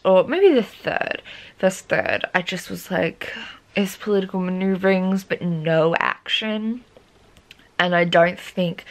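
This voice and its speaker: A woman in her twenties, a book vlogger, chatting casually at home with plenty of ums and asides, her voice gone croaky. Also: lowish voice, a bit bright. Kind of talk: casual chat.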